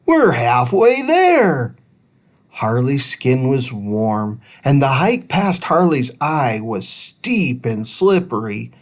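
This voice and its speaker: A woman reading a children's storybook aloud as an expressive narrator. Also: extremely low pitch, slow pace, hardly any pauses. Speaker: storybook reader.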